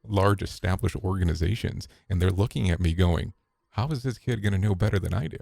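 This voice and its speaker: A man being interviewed on a podcast, telling stories in a relaxed way with restarts and quote-unquote asides. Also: low-pitched, brisk, gravelly, no room sound, clean studio sound. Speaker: podcast guest, safety broadcaster. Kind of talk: conversational interview answers.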